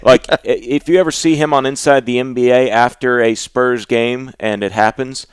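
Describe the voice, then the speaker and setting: A man's voice: mid-range voice, fairly even intonation, moderate pace, clean tone. A man hosting a podcast, talking casually and conversationally about sports.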